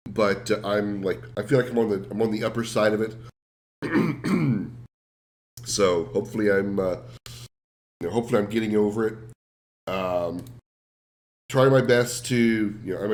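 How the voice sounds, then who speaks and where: A man streaming a game while sick, sounding rough and congested, rambling self-deprecatingly and answering his chat. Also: lowish voice, darkish tone. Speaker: game livestreamer. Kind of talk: casual livestream chat.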